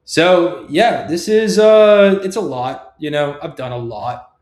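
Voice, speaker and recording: A man's voice: on the high side, slow, a little mellow. A middle-aged man giving an informal, emphatic, rambling tech talk, punctuated with short checking questions. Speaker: software developer presenting own project. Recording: echoey room.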